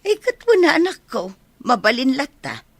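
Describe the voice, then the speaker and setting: A woman playing a doting, easygoing older village mother in a radio drama, with a husky, expressive voice and an Ilocano accent. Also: sits high, moderate pace, dry recording, exaggerated voice.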